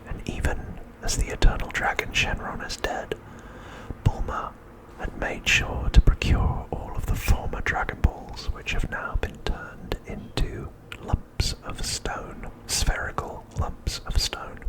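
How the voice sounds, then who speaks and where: A man whispering an ASMR narration in a soft, unhurried way, with deliberate phrasing and pauses. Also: rough recording. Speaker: host of an ASMR channel.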